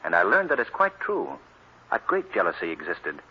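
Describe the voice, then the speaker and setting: Earnest detective hero, swoopy dramatic delivery, muffled old time radio show. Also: male voice, low-pitched, huge pitch swings, moderate pace, husky, exaggerated voice. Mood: serious, thoughtful. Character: earnest, resolute male amateur sleuth and crime fighter. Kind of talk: 1940s radio drama acting. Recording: noisy, dull old radio recording.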